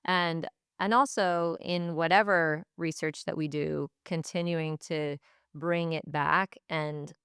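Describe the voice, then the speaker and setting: A woman with a low voice that stays clear and resonant. She talks reflectively, like an educator being interviewed, working through her thoughts in repeated, restarted phrases. The sound is close and dry. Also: fairly even intonation, slow pace, fairly bright tone, no echo. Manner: thoughtful, stop-and-start phrasing with repetition. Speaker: teacher and language department head, podcast guest.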